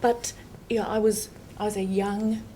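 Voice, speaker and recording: An older woman, a judge, speaking softly and reflectively in a panel discussion. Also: mid-range voice, flat delivery, moderate pace, a little hiss or hum. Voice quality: soft-voiced.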